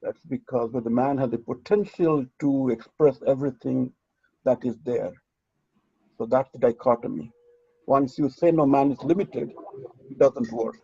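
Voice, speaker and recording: Man moderating a seminar: gravelly, husky, mellow, lecturing with restarts; lo-fi call audio. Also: mid-range voice, no room sound. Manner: earnest, lecturing, halting restarts. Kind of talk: online seminar discussion. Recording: dull lo-fi video call audio.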